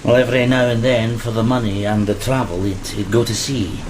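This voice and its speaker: A man's voice: medium pitch, brisk, very noisy, lightly acted. A man playing a wistful, weathered sailor, speaking hesitantly and plainly in a gothic audio play. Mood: wistful, fond. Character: wistful, weathered male sailor, survivor of a flogging. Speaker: actor in an audio drama. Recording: steady rain behind the voice.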